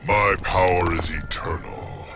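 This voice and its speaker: An actor voicing an ancient, hulking plant monster who guards nature, speaking in a deep, gravelly, grave and formal way. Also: male voice, steady tone, measured pace. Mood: solemn. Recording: game music behind the voice.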